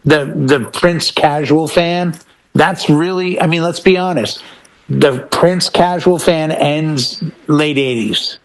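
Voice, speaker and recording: A man's voice: fairly high pitch, slow. A man talking enthusiastically and emphatically in a casual panel chat as one of the show's panelists. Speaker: panelist on a DJ talk show.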